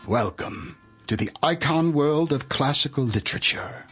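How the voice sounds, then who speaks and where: A man's voice: fairly high pitch, expressive, normal speed, a bit bright, faint music behind. A man delivering a scripted audiobook introduction as narrator, in a grandiose, solemn manner.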